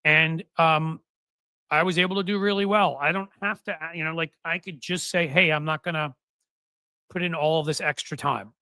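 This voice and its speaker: Fifty-something male host, rambling and wry, bright tone, dry close-miked sound. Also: fairly high pitch, steady tone, moderate pace, clear, resonant tone, no room sound, rough recording. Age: 50s. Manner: rambling, self-interrupting, wry asides. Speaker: political podcast host. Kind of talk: casual livestream talk to viewers.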